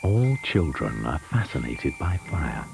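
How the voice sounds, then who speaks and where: A man's voice: very deep voice, very animated, unhurried, gravelly. A man reading an ominous scripted warning as a voice-over narrator. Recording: music under old VHS audio.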